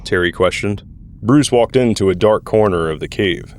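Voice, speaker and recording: A man's voice: fairly low pitch, moderate pace, a little mellow, slight background noise. A man reading scripted narration for an audio drama. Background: background music.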